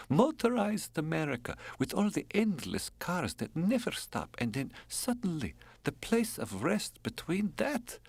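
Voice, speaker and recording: A man performs a frail foreign woman in an audiobook, lighter and higher in pitch. Her comes in soft, halting, breathy phrases, often near a whisper. She is tender and wistful, recorded very dry. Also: dry recording, full character voice. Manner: halting, slightly unidiomatic English.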